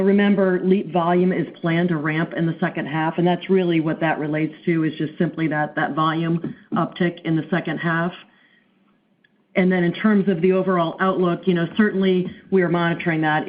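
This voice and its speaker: A woman's voice: deep voice, flat delivery, fairly quick. A woman answering analyst questions as a finance executive on a business call. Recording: narrow, tinny phone line.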